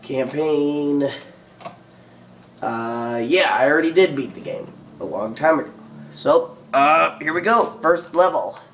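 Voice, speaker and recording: A man with a hoarse, lost voice and a cough, giving rambling casual commentary on a video game. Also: a little high, lively intonation, takes it slowly, fairly bright tone, slight background noise. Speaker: gaming video host. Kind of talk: let's play commentary.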